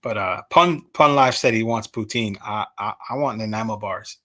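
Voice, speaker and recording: A man's voice: lowish voice, normal speed, sharp tone. A man from the United States chatting casually on a podcast in a rambling, self-deprecating way. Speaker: podcast participant. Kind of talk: casual chat.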